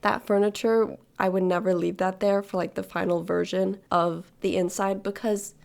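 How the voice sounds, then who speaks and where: A young woman of nineteen from Sweden, raised in Hong Kong, chats in a loose, rambling way over a game build. She drifts into side stories and speaks directly to whoever is watching, in a mid-pitched voice. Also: little pitch movement, moderate pace, creaky, a little mellow. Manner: rambling, storytelling, talks to viewers directly. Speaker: gaming video creator. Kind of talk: casual chatty voice-over while building.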